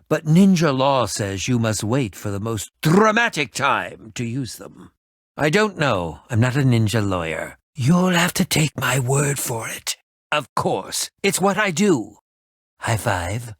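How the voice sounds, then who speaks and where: A man's voice: mid-range voice, very animated, unhurried, no room sound, studio quality. An actor playing an eccentric, self-important man who fancies himself a ninja in a video game, speaking in a pompous, dramatic, wordy manner. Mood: secretive, self-important. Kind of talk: animated video game voice acting.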